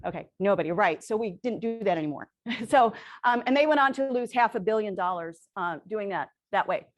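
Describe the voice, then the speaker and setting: A woman presenting to a room of real estate agents, energetic and quizzing the audience, who says she is losing her voice. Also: medium pitch, brisk. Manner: energetic, asks the audience questions, tags lines with "right?". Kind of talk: sales presentation to a live audience.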